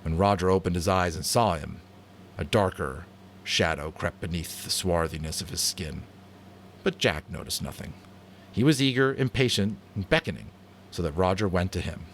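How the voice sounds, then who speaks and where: A man doing expressive, dramatic audiobook narration, sometimes stumbling on a word and correcting himself. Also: sits low, moderate pace, slight background noise. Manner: expressive, with small slips he corrects aloud. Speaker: amateur audiobook reader.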